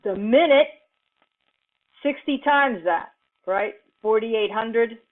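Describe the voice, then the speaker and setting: A woman teaching in an informal lecture style, explaining step by step in a halting, deliberate manner with pauses mid-sentence. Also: on the high side. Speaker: computing instructor. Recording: muffled, phone-like narrowband audio.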